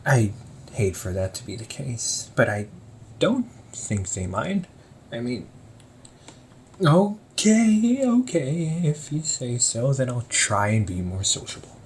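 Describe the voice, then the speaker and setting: A man's voice: mid-range voice, very animated, takes it slowly, a little mellow, a little hiss or hum. A man playing a teasing, easygoing older brother. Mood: casual. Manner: chatty, teasing, laughs often. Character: easygoing young man, older brother running a hobby shop. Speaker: audio roleplay performer. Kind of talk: one-sided audio roleplay to the listener.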